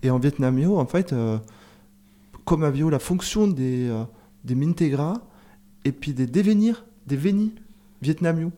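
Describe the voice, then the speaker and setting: A man in a casual radio discussion, talking in a hesitant, halting way with many fillers. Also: fairly high pitch, expressive, dark tone. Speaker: radio show guest.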